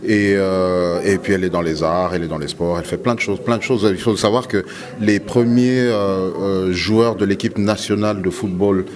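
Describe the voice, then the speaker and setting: A man's voice: low voice, fairly even intonation, moderate pace, crowd noise. A man from DR Congo who grew up in Switzerland, talking casually as an interview guest and hesitantly repeating words as he thinks. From born in DR Congo, grew up in Switzerland and Belgium.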